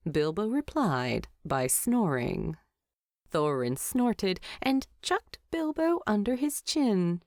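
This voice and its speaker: Woman, story narrator, slow pace, lively intonation, creaky edge, scripted fiction. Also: medium pitch, no echo, studio quality.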